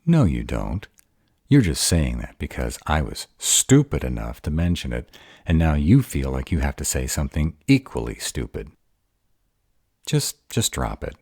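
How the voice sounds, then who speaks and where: A man's voice: very deep voice, huge pitch swings, measured pace, vocal fry, a little mellow, slightly rough, dry recording, studio quality, heavily acted. A man playing a grumpy, insecure were-dragon in a romantic roleplay audio drama, hesitant and defensive as he courts his mate. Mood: defensive, sulky. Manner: hesitant, defensive, self-doubting.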